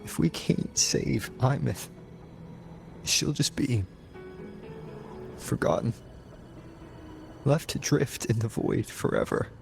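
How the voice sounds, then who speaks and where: A man's voice: medium pitch, animated, slow pace, gravelly, pauses often, a little mellow, full character voice. An actor playing a burdened, introspective male hero in a video game, speaking in a subdued, brooding manner. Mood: regretful, troubled. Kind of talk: video game cutscene voice acting. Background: background music.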